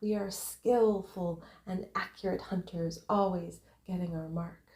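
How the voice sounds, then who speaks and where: Slow, mellow woman guiding a visualization. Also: lowish voice, fairly even intonation, reverberant. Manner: unhurried, short separated phrases, breathy emphasis. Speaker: guided visualization narrator. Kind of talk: guided visualization, meditative first-person narration.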